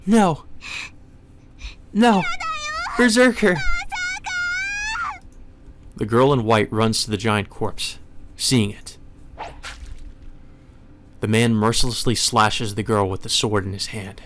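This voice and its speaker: A man's voice: on the low side, level tone, unhurried, pauses often, background noise. A man reading a visual novel aloud as solemn, dramatic scripted narration. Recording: music behind the voice.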